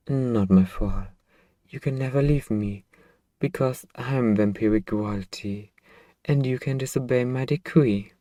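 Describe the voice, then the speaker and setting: A man voicing a possessive yet tender centuries-old vampire royal in an intimate ASMR roleplay, speaking softly and haltingly in a coaxing murmur. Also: fairly low pitch, dry recording. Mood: possessive, teasing menace. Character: possessive, tender two-hundred-year-old male vampire royal, devoted master. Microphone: close microphone, dry.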